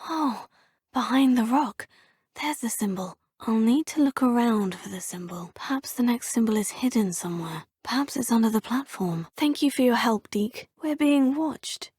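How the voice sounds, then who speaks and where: A polite young student witch, slightly high, dry close sound, video game voice lines. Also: moderate pace, a little mellow, husky, no room sound. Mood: musing. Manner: thinks aloud, polite and formal. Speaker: player character.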